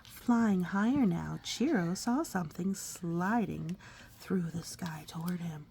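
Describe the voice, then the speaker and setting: A woman reading a picture book aloud to a young child, expressive and coaxing, often dropping into a breathy whisper and adding chatty asides. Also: fairly low pitch, takes it slowly, slight background noise. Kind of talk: storybook read-aloud with conversational asides to a child.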